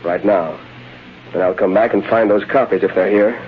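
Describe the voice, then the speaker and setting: A man's voice: fairly low pitch, fairly even intonation, moderate pace, faint music behind, close to an everyday voice. A man playing a crooked, bullying official in old-time radio drama style. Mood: cold, threatening. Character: crooked menacing male highway official, cold and bullying. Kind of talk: 1930s-40s radio acting. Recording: tinny, noisy vintage radio recording.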